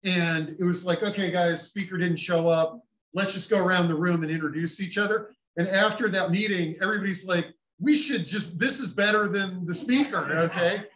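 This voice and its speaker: A man hosting a community meeting in a large room, his voice a touch high and carrying a clear echo. He jokes with the group and fills gaps with loose "uh" and "yep" while calling each speaker up. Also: normal speed, fairly bright tone. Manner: loose, joking, filler-heavy. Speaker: meeting host. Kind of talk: casual emceeing. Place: large senior living common room. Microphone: far camera in room. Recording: echoey room sound.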